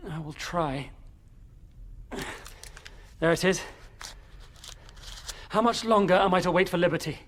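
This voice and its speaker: A man's voice: high voice, unhurried, long pauses, fairly bright tone. An actor playing a desperate, impatient prisoner in a period audio drama, anguished and formal in his diction. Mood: desperate, weary. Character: desperate, impatient male prisoner begging for freedom.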